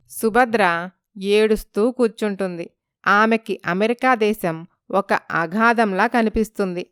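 A woman's voice: medium pitch, clear, resonant tone, no room sound, clean studio sound. A woman narrating a scripted dramatic story as its storyteller.

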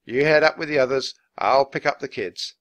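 A man voicing a steady, obliging helicopter pilot talking over a radio in a storybook. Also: mid-range voice, normal speed, pitched above the performer's own voice, natural voice. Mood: businesslike.

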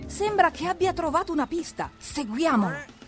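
A woman with a high voice narrates an animated show for small children. Her delivery swings widely in pitch and is exclamatory and expressive, addressing the characters directly. Music plays behind her. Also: normal speed. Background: background music.

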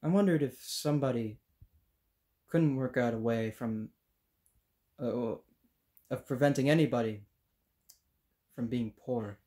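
Slow, halting, earnest student played by a man. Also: medium pitch, mellow, natural voice. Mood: hopeful, earnest. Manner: halting, hesitant, searching for words. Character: earnest, idealistic, tongue-tied student recalling his teacher. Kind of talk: dramatic monologue.

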